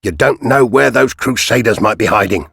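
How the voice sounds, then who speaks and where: A man reading a storybook aloud, voicing a bossy male soldier who shouts orders at two boys. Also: lowish voice, normal speed, vocal fry, husky, no echo, studio quality, full character voice.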